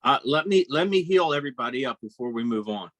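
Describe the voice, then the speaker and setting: A man's voice: mid-range voice, animated, fast talker, dry recording. A man with a mid-range voice talks casually as a player in a tabletop role-playing game. The sound is close and dry, with no room around it.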